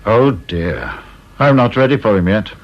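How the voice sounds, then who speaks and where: An actor playing a coolly calculating security chief in a radio drama, British accent, with a measured, dryly understated manner. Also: male voice, fairly low pitch, big pitch swings. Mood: preoccupied. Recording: dull radio play recording.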